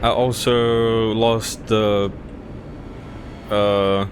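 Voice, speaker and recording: A man's voice: on the low side, very slow. A man from Czechia streaming casual chat while driving, laid-back and given to chuckling and trailing off. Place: inside a car on the road. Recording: steady road and car noise. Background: car and road noise.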